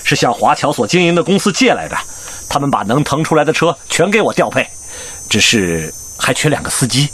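A husky, resolute businessman. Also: medium pitch, sing-song, brisk, fairly bright tone. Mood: resolute, warm. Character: earnest overseas Chinese businessman, male, resolute volunteer. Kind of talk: Chinese radio drama acting.

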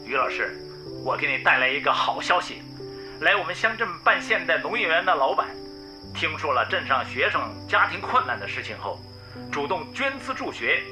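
A genial local official in a radio drama. His voice is fairly high and very animated, friendly yet measured, with music clearly underneath. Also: rough recording. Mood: pleased, informing. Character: genial male township education official.

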